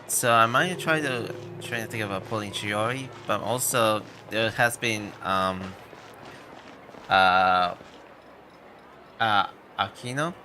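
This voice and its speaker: A man livestreaming a video game with casual, halting commentary in broken phrases, game music playing behind him. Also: on the low side, bright, forceful tone, slight background noise. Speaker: game streamer.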